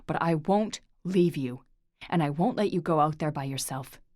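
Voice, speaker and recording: A woman's voice: lowish voice, moderate pace, darkish tone, no room sound, clean studio sound, close to an everyday voice. A woman voicing an intense, protective young man who speaks emphatically. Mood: pleading. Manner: pleading, emphatic.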